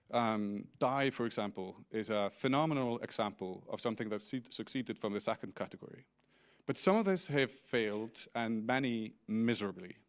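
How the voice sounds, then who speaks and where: A middle-aged man with an Icelandic accent gives a conference presentation as a company founder, explaining things with light humor and small restarts. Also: medium pitch. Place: large indoor conference stage.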